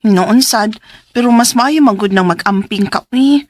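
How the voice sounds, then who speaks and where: Wary cebuano gal pal, radio drama, really high voice, dry close sound. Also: female voice, a little slow, a little mellow, no room sound. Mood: concerned. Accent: Cebuano Filipino. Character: wary, cautioning young female friend.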